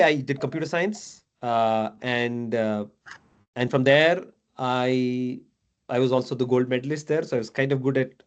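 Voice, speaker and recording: A man in his thirties, raised in Dubai, talking casually as a mentor, chatty, with many fillers and tag questions. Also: medium pitch. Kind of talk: casual video call chat.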